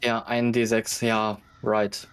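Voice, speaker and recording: A man's voice: lowish voice, monotone, takes it slowly, clear, resonant tone. A man playing a tabletop game, talking casually and hesitantly.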